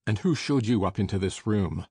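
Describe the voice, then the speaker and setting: A man doing a gruff, husky house master. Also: on the low side, expressive, normal speed, mellow, dry recording, studio quality, pitched below the performer's own voice. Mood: angry. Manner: gruff and irritable. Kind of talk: audiobook character voice.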